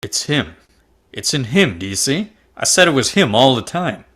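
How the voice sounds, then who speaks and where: A stubborn husband in a sci-fi drama read, played by a man. He is blunt and accusing, with animated, swinging intonation. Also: medium pitch, normal speed. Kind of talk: amateur table-read drama.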